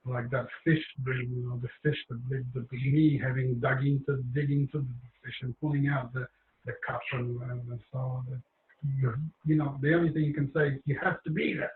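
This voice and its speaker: A man giving an informal talk about his book, hoarse and losing his voice, rambling with many fillers and restarts. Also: mid-range voice, little pitch movement, fairly quick, reverberant, narrow, tinny audio, a little hiss or hum.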